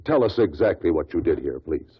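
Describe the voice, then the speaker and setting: An American man playing a courteous, probing investigator in a 1950s radio drama, questioning formally and pointedly with a husky voice. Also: low-pitched, sing-song, a little fast, heavily acted. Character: probing, courteous male amateur investigator at an inquest. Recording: dull lo-fi 1950s radio recording.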